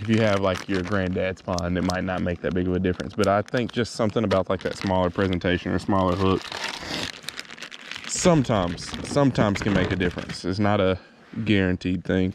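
A man chats casually and thinks aloud in an easygoing, rambling way as he vlogs a fishing trip. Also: low-pitched. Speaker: fishing vlogger. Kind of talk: casual chat to camera while fishing. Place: outdoors on a kayak on a lake. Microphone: action camera mounted on the kayak or body. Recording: noisy outdoor action-camera audio, water and clicks.

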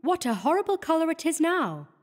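A man reading aloud, voicing a young woman who is an artist's model, lively and chiding. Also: very high-pitched, fairly quick, big-room sound, voice pushed up. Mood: puzzled.

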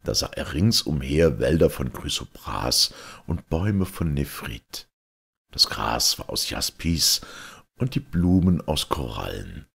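A man reading a fairy tale as an expressive scripted narration, like an audiobook storyteller. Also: a bass voice, gravelly, breathy edge.

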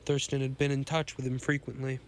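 Hoarse man reading pulp sci-fi aloud. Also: mid-range voice, level tone, fairly quick, a little hiss or hum. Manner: stumbles and restarts often while reading. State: hoarse from a cold. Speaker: podcast host reading pulp stories.

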